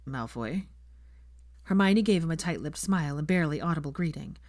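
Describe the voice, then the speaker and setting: A woman's voice: deep voice, fast talker, darkish tone, dry recording, clean studio sound. A woman narrating a scripted story as an audiobook reader. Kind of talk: scripted fiction narration with character voices.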